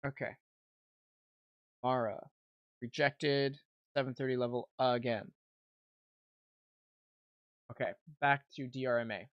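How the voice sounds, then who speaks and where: A man's voice: mid-range voice, fairly even intonation, slow, pauses often, no room sound, muffled recording. A young man giving casual, informal live trading commentary as a livestream host, thinking aloud as he goes. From Canada. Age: young adult. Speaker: livestream host, amateur day trader.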